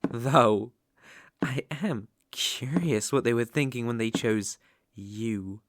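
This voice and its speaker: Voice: medium pitch, slow pace, no echo, studio quality. A British-accented performer playing a sadistic, haughty demon in an ASMR roleplay, with a mocking, condescending, theatrical delivery. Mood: disdainful, curious. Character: sadistic, haughty demon lord sneering at human sacrifices.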